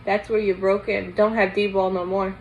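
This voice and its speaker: A woman reads the part of a gruff, worn-out old man in a storybook. He talks in folksy, ungrammatical phrases, with noise in the background. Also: mid-range voice, little pitch movement, moderate pace, dull audio, natural voice. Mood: reminiscing. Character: weary, gruff old man, former ballplayer.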